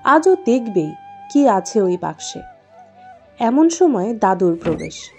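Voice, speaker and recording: A woman's voice: a little high, sing-song, slow, faint music behind. A woman reading a scripted story as its narrator.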